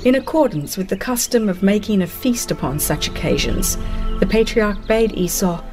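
A woman narrating a dramatized religious audiobook in a solemn, deliberate storytelling manner with dramatic pauses. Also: medium pitch, lively intonation, over music.

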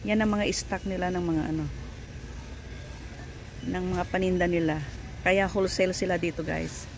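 A woman's voice: lowish voice, steady tone, normal speed, slight background noise. A woman from the Philippines with a Filipino accent, chatting casually as a shopping vlogger in a large store. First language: Tagalog. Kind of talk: casual shopping vlog chat. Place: large warehouse store, indoors. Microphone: handheld phone camera, held by the speaker.

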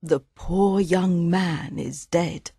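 A man voicing an old, wise mother mare who gently teaches her colt, speaking slowly in a lifted voice with a slightly breathy tone. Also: high-pitched, big pitch swings, no room sound, clean studio sound, pitched above the performer's own voice, full character voice. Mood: sad. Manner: gentle, slow, instructive.

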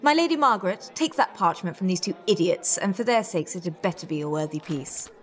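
A woman's voice: fairly low pitch, expressive, brisk, close to an everyday voice. A woman playing a haughty, sardonic, impatient queen with a dry, imperious manner in a historical comedy audio drama.

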